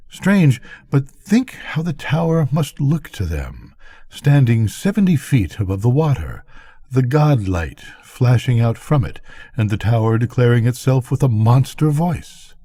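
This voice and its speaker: A man's voice: medium pitch, mellow, dry recording. A man voicing a musing, folksy, lonely lighthouse keeper in a bedtime storybook reading. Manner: thoughtful, folksy.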